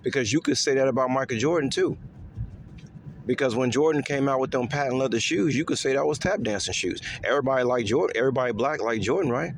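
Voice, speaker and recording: A man talking in a casual, rambling monologue to his viewers, repeating himself with lots of fillers and letting his voice swing higher and lower. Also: quick pace, a little hiss or hum. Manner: pitch swings up and down. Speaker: vlogger.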